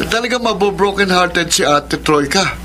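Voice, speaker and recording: An actor playing a cheeky, teasing youngest brother in a Filipino radio drama, playful and mocking, with a Filipino accent. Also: male voice, high-pitched, expressive, moderate pace, background noise.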